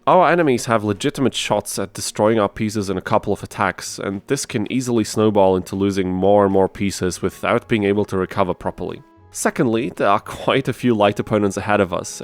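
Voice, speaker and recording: A man's voice: lowish voice, a little fast. A man hosting a gaming video, narrating a challenge run from a script with conversational, self-deprecating asides. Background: music under the voice.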